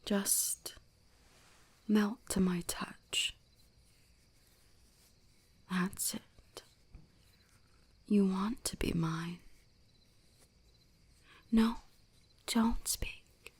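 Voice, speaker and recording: Woman acting as ancient female fox spirit, ASMR roleplay, breathy whispers, creaky fry, unhurried, possessive, gently dominant. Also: medium pitch, fairly even intonation, heavily acted. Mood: tender, coaxing. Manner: slow, soothing, gently commanding.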